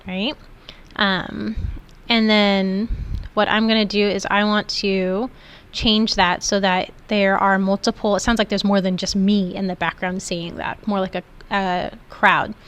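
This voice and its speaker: A woman's voice: medium pitch, flat delivery, unhurried, a bit bright. A woman instructor giving an informal, step-by-step screen tutorial in an explanatory way. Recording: clearly noisy background.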